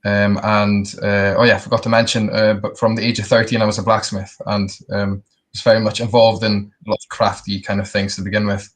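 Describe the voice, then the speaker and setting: A young man, telling his personal story casually in a halting, reflective way. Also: fairly low pitch, little pitch movement. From Scotland and the Netherlands. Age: young adult. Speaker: interview guest.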